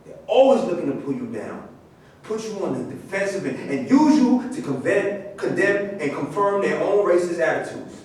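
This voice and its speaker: A man's voice: high voice, very animated, moderate pace, darkish tone. A man acting a wary, cynical famous athlete with a grumbling, opinionated manner in a staged play reading. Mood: bitter, wary. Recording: very echoey room, distant mic, faint noise.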